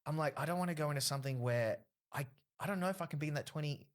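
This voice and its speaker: A man's voice: on the high side, very fast. A man, a comedian guest telling long stories in a casual podcast interview, with many fillers. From Australia. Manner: rambling storyteller, frequent fillers.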